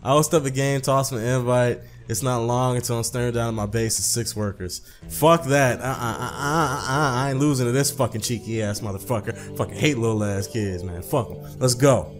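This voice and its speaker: A young man reads story text aloud as host of a gaming video. His voice is medium-pitched and his delivery informal and profane, with clear music running behind him. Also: fairly quick, few pauses. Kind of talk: reading a visual novel aloud with casual commentary.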